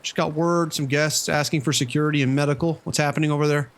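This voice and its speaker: An actor playing a stressed casino security boss taking charge in a horror audio drama. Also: male voice, on the high side, fairly even intonation, fast talker, lightly acted. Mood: commanding.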